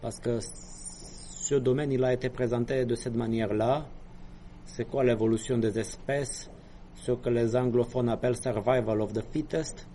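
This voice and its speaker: A man's voice: mid-range voice, fairly even intonation, a little slow, warm tone, slight background noise. A man giving a university lecture, explaining step by step with hesitations, apologetic asides and small jokes.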